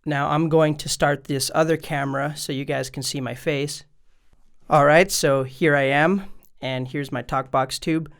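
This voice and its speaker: A man's voice: fairly high pitch, steady tone, measured pace, fairly bright tone. A man presenting a casual step-by-step tutorial vlog in an easygoing, conversational way, addressing his viewers directly. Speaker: music gear video maker and presenter.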